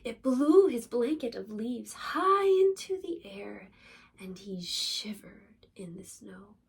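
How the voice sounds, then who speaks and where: A woman reads a children's bedtime story in a high, very lively voice. She takes her time with each phrase, dramatic and deliberate, with some creak in the tone. She sounds a little way from the microphone. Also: distant mic. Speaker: storyteller reading a picture book aloud for children. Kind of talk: expressive children's bedtime story read-aloud.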